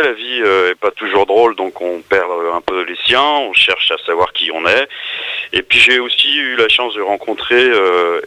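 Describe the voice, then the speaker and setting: Bright-voiced middle-aged French man, halting delivery. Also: lowish voice, no echo. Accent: French from France. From France. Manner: halting, searches for words and repeats phrases. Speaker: singer-songwriter guest. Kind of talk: casual radio interview chat.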